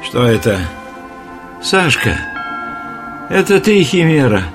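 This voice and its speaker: A man's voice: on the high side, sing-song, slow pace, over music. An actor in a radio play as a blunt elder monk, a spiritual father who speaks weightily and brusquely. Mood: gruff, scolding. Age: older.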